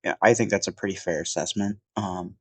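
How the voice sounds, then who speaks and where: Deep-voiced man, sniffly and rambling. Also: steady tone, brisk, a bit bright, husky, no room sound. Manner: rambling, many fillers and restarts. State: sniffly from a cold. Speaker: film reviewer. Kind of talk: casual off-the-cuff film review. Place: at home.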